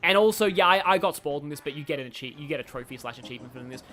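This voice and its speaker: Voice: medium pitch, lively intonation, racing, sharp tone. A game streamer giving casual, rambling livestream commentary, chatting and reacting aloud to the game. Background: game music.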